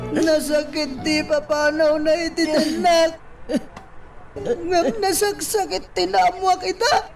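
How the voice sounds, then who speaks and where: An actress voicing a grieving son who accuses his mother of killing his father, crying and shouting. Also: extremely high pitch, flat delivery, slow pace, gravelly, heavily acted. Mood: bitter. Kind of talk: radio drama acting. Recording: distant voice under loud music.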